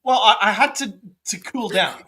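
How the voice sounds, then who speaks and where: A man's voice: high-pitched, animated, moderate pace, pushed and bright. A man around forty co-hosting a sports podcast livestream, exasperated and swearing for effect. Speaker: podcast co-host. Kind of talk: casual livestream banter.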